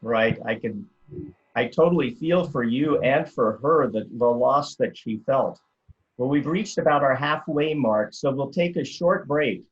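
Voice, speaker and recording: A man hosting a TV interview show, giving steady, scripted links between segments. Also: medium pitch, a little slow, muffled recording. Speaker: TV show host.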